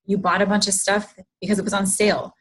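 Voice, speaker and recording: Husky woman with a fading voice. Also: mid-range voice, flat delivery, fast. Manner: chatty, greets viewers, reads out comments. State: losing her voice, clearing her throat. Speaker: host of a livestream. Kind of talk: casual livestream chat to viewers.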